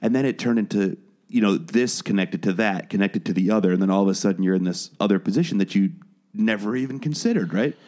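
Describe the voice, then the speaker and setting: A man with a fairly low voice, talking loosely as a podcast guest. He restarts his sentences and doubles up on words as he works through a long story about his career. He sounds relaxed and conversational. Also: fast. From Wales. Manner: chatty, frequent restarts and repeated words. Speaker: guest, musician and podcast producer. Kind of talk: casual interview conversation.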